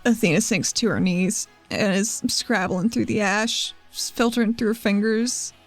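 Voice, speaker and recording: A woman's voice: mid-range voice, fairly even intonation, a little slow, faint music behind. A woman who plays in a tabletop game, narrating what her character does and feels. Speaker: player.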